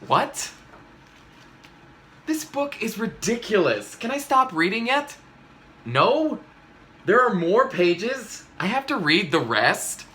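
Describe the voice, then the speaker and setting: A man's voice: sits high, very animated, slow, pauses often, sharp tone, a little hiss or hum, voice pushed up, full character voice. Man playing an exasperated, swooping storybook reader. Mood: pleading, exasperated. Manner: dramatic, mock-indignant, pleading. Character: exasperated grown-up reader forced to say silly things. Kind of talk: animated children's read-aloud.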